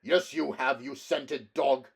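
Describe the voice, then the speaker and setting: A man's voice: mid-range voice, fairly even intonation, slow pace, creaky, breathy edge, pitched above the performer's own voice, full character voice. A man voicing a fierce barbarian thief who talks in blunt, snarling accusations.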